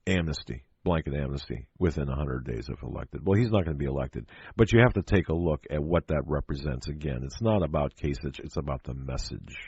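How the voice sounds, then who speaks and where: A low, husky-voiced American man delivers a political radio monologue in a dull, close and dry recording. He speaks emphatically and haltingly, repeating phrases and posing rhetorical questions to make his case. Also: darkish tone, dry recording. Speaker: talk show host.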